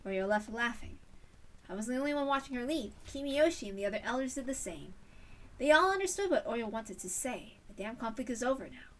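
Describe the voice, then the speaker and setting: A woman's voice: on the high side, lively intonation, brisk, distant mic. A woman reading a visual novel story aloud as a narrator. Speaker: reader.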